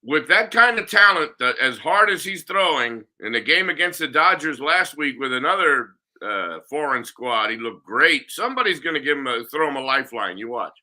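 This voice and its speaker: Very bright-voiced man guesting on sports talk. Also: medium pitch, sing-song. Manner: confident, quick opinions, chatty about his schedule. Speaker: guest broadcaster.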